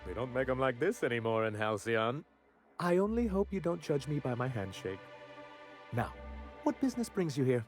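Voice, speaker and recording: Theatrical vain executive, played by a man, florid and suggestive, swooping lively pitch, game soundtrack behind. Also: normal speed, faint music behind, close to an everyday voice. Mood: grandiloquent. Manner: theatrical, florid and innuendo-laden. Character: flamboyant vain male corporate executive.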